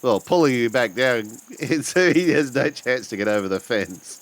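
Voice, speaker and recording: A man playing a tabletop roleplaying game, casually improvising his character's actions and halting as he searches for words. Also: medium pitch, animated.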